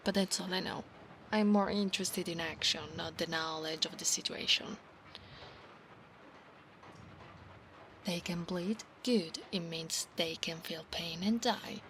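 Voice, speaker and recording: A woman with an Italian accent voicing a proud, zealous centaur knight in a soft, intimate ASMR roleplay, speaking formally and earnestly. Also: on the low side, normal speed, slight background noise. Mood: calm, matter-of-fact. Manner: formal, earnest, grandiose talk. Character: proud, zealous female centaur knight, obsessive and battle-hungry.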